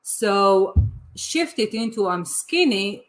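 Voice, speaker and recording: A woman's voice: medium pitch, expressive, takes it slowly, faint music behind. Eager woman coach, native Hebrew speaker. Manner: eager, repeats words, restarts sentences. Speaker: life coach. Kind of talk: casual podcast conversation.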